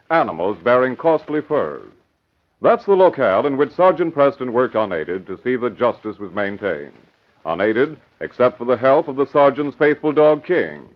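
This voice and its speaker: Dramatic male radio announcer, wide inflection. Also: mid-range voice. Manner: dramatic, declamatory. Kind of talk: 1940s radio promo narration. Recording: muffled old radio broadcast.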